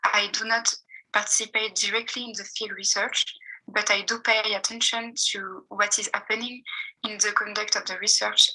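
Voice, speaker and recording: Bright-voiced woman reading a paper. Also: medium pitch, low-quality recording. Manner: careful, measured reading with pauses between phrases. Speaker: PhD student presenter. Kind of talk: reading a seminar paper aloud.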